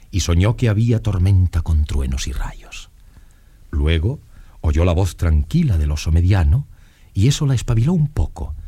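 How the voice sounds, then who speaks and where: A man's voice: sits low, dark tone, breathy edge. A man narrating a children's story in a scripted storytelling style. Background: light music.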